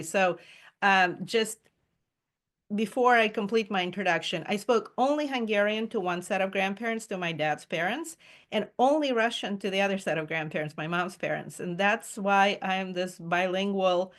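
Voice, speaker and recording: A woman presents to her reading group over a video call, chatty and eager. She talks in an easy conversational way, often pausing on ums and letting small laughs slip in. Her voice sits at an ordinary middle pitch for a woman. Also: a bit bright. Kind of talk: casual Zoom presentation.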